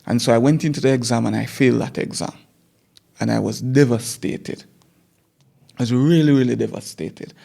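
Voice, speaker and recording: A man's voice: medium pitch, creaky, dark tone. A man in his forties with a Jamaican accent, a teacher recalling his schooling in a conversational interview, often repeating words as he thinks back. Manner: repeats words while recalling, measured storytelling. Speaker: guest teacher.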